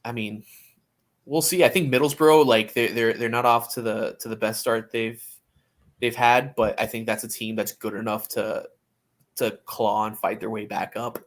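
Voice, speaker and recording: A man's voice: fairly low pitch, flat delivery. Guy on a sports podcast, casual chat, kinda stumbles and repeats words as he goes. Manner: halting, with repeated words and false starts.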